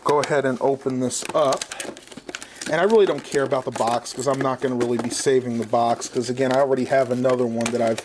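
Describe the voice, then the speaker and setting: A man's voice: mid-range voice, steady tone, quick pace, background noise. Male online video host, mid-range voice, casual review delivery, chatty step-by-step explanations. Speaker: YouTube channel host.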